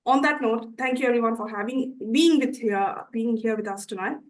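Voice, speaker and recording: Croaky-voiced woman hosting an interview. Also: on the high side, normal speed. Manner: polite, formal introductions. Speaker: interview host. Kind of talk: formal community interview.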